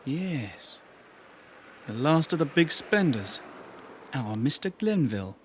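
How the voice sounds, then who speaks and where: A young actor playing an eager detective constable sidekick in a British radio drama. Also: male voice, medium pitch, very animated, slow pace, slight background noise. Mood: dry. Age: young adult.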